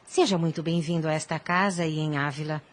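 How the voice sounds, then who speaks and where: A woman's voice: low-pitched, steady tone, fairly quick, close to an everyday voice. A woman playing a gracious mature mother in an audio drama, polite, composed and formal. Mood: welcoming. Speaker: voice actor.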